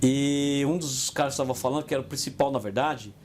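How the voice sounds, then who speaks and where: A Brazilian man co-hosting a casual business podcast, telling stories in an animated way and acting out the voices of the people he quotes. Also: mid-range voice, fast talker, slight background noise. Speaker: podcast co-host.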